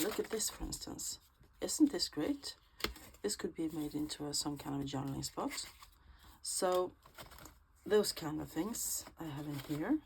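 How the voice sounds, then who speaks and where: A woman from Sweden with a Swedish accent, chatting casually in a crafting vlog, unhurried and full of ums and restarts. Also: on the low side, noisy background. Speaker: junk journal creator.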